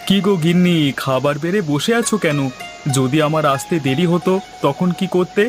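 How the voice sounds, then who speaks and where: A man's voice: on the high side, normal speed, natural voice. A man voicing a caring village market trader in a cartoon, speaking dialogue in an earnest, folksy, advising manner over music. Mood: affectionate. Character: caring village market trader, husband, elder-brother figure.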